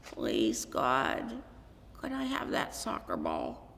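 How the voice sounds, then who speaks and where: A woman voicing an eager, wishful schoolboy in a storybook, with earnest, excitable, childlike phrasing. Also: a bass voice, slow, creaky, a little hiss or hum, voice pushed down, full character voice. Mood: pleading. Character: eager, wishful young schoolboy who loves soccer. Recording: echoey hall with long reverb.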